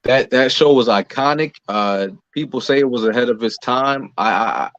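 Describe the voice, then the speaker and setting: Male interview guest, mid-pitched, relaxed conversational delivery, dry and slightly dull lo-fi recording. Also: normal speed, no room sound. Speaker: interview guest, performer and writer. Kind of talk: casual chat, answering interview questions.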